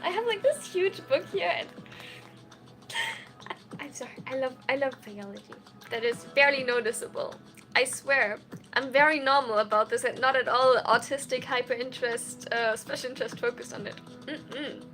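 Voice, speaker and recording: Young woman streaming, high voice, giggly and rambly, soft music playing behind. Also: moderate pace. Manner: chatty and giggly, full of "like" and "um". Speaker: streamer and college student. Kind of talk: casual livestream chat answering viewer questions.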